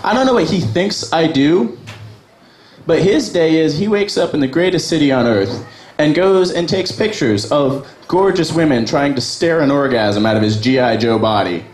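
A man's voice: fairly high pitch, lively intonation, normal speed, a little mellow. A young man from Virginia doing a casual, crude, self-deprecating stand-up set at an open mic in a small bar. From Virginia, USA. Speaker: stand-up comic. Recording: echoey bar with background noise.

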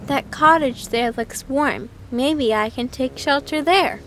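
A woman voicing a lonely young duckling in an animated fairy tale. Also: sits high, slow, slight background noise. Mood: wistful.

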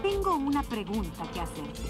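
Bold young cartoon heroine, calm n confident, lively pitch, latin american spanish, loud music behind. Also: female voice, moderate pace. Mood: coolly bold.

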